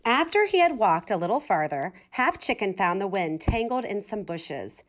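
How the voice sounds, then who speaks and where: A woman reading a children's storybook aloud in an expressive style. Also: mid-range voice, normal speed. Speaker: storybook reader.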